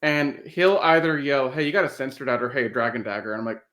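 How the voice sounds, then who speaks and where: A man's voice: mid-range voice, quick pace, heavy background noise. A man chatting casually as the host of a podcast.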